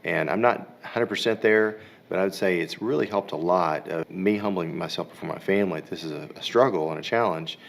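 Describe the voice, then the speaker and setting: A man's voice: sits low, fairly quick, creaky. A man giving a reflective interview answer, hesitant and repeating his words.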